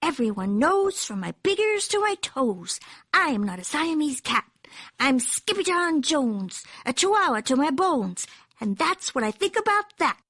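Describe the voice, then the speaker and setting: A woman's voice: very high-pitched, very animated, normal speed, no room sound, clean studio sound, pitched above the performer's own voice. A woman voicing a bouncy, boastful little kitten boy from a storybook, with dramatic singsong rhymes and mock-Spanish flourishes. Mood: defiant, proud. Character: bouncy, boastful little Siamese kitten boy who believes he's a chihuahua.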